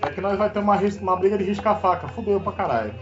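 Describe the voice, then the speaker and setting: A man's voice: high-pitched, quick pace. A Brazilian man who is a guest on a casual group chat, joking in a slangy drawl. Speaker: podcast guest. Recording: dull lo-fi audio with loud music behind.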